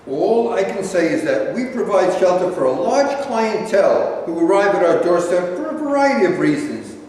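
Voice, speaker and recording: Far from the mic in a reverberant hall, an actor plays a cautious shelter manager. His fairly high voice is formal, measured and evasive in this stage reading. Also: big pitch swings, a little mellow. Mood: guarded. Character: guarded, bureaucratic homeless shelter manager. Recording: echoey hall, distant mic, faint noise.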